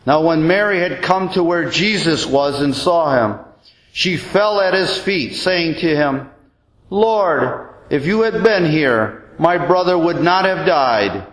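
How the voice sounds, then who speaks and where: A man, a deacon, reading the Gospel as a solemn scripture reading, with measured, deliberate and expressive phrasing. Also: a little high. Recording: roomy, echoing church-like sound.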